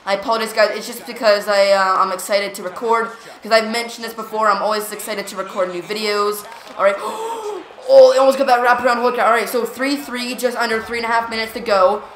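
Excitable gamer woman, stutters n repeats herself, roomy echoey sound. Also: fairly high pitch, fairly quick, few pauses, a little hiss or hum. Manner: jumpy, reactive, lots of "come on" and "here we go". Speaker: gaming channel host. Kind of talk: excited live gameplay commentary. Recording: roomy echo.

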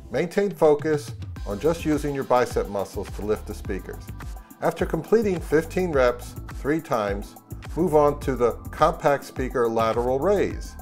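Deadpan middle-aged man over music. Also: mid-range voice. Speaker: host of a hobby audio channel. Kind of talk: scripted narration to camera, tongue-in-cheek instructional.

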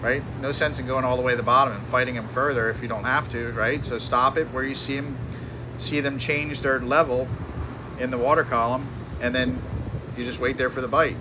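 A charter fishing captain giving a live seminar in a tackle shop, talking casually with many fillers and restarts. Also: male voice, mid-range voice, fairly even intonation, fairly quick, background noise.

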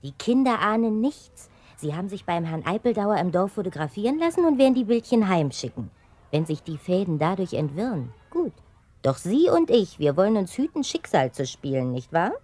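An actress playing a composed older headmistress in a German children's radio play, measured, knowing and gently patronizing. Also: female voice, mid-range voice, big pitch swings, no echo. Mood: firm.

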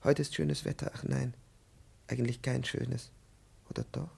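An actor playing a timid, over-polite elderly professor in a 1950s radio play, halting and profusely courteous. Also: male voice, medium pitch, flat delivery, vocal fry, darkish tone, slightly rough, heavily acted. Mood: hesitant. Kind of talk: 1950s German-language radio play acting. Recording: 1950s radio drama recording.

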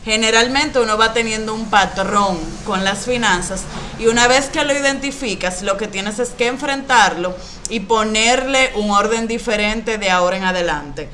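A woman in her thirties explaining personal finance to camera as a coach, in a didactic, deliberate way. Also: mid-range voice, fairly bright tone. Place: small indoor room. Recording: some background room noise.

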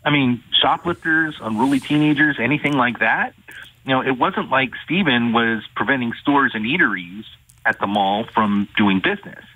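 A man, an attorney, calling in to an interview and recounting a case in a measured way. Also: medium pitch, sharp tone, slight background noise. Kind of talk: phone-in interview. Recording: tinny phone line.

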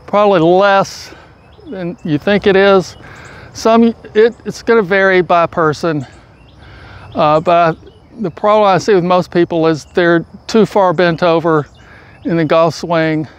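A middle-aged man teaching golf posture outdoors, giving calm, patient, step-by-step instructions, recorded by a camera standing some way off. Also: high-pitched, unhurried, smooth. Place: outdoors on a grassy field. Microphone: far camera, full-body framing. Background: crickets and insects outdoors.

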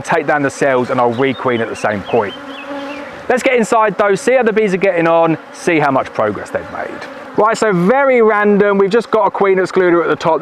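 A high-voiced man talks cheerfully while he works through a hive at an outdoor apiary. His voice comes from a camera set a little way off on a tripod. A faint buzz of bees hangs behind him. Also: slight background noise. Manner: chatty, upbeat, talks while working with the hive. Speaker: beekeeper. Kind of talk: casual hands-on video narration. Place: outdoor apiary at a forest edge, in the evening. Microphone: camera on a tripod at a distance, wearing a bee suit veil. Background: faint outdoor buzzing of bees.

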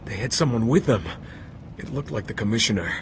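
A man's voice: on the low side, lively intonation, fairly quick, slight background noise. An actor playing a shaken male security guard in a video game, stammering at times. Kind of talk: video game cutscene acting.

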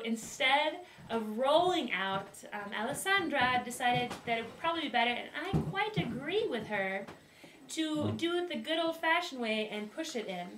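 A young woman hosting a homemade cooking video, presenting in a playful mock-formal way and giggling often, heard from a distant camera in a small wooden kitchen. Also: high-pitched. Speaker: host of a home cooking video. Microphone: far camera. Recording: roomy, distant, faint hiss.

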